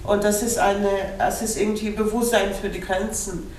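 A woman's voice: mid-range voice, steady tone, normal speed, a little mellow, slight background noise. Thoughtful woman, echoey distant panel mic. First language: Belarusian. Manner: thoughtful, hesitant, many fillers. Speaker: translator and moderator. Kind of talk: panel discussion. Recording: echoey room from far off.

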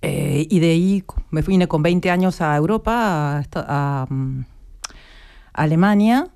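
A woman's voice: low voice, measured pace, creaky, darkish tone, clean tone. A woman in her fifties with an Argentine accent, a guest on a radio interview, talking reflectively and searching for her words. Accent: Argentine Spanish.

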